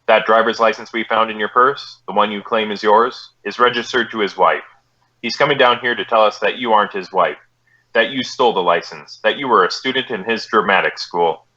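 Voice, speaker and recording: A man playing a polite, by-the-book police detective with a deadpan, clipped delivery in a radio drama. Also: on the low side, a little fast, muffled recording. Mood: stoic, firm. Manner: deadpan, clipped, matter-of-fact.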